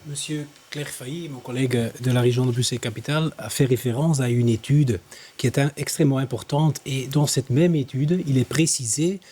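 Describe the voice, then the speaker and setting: Deliberate minister with a husky voice. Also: male voice, medium pitch, mellow. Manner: deliberate, repeats himself. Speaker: regional minister. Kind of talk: televised debate.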